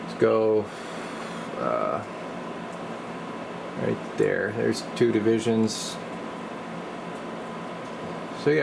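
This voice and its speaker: Male technician, deep-ish fry-edged voice, informal demo narration, noticeable background hum. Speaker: radio repair technician demonstrating his work. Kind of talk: casual hands-on bench demonstration. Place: indoor radio workbench.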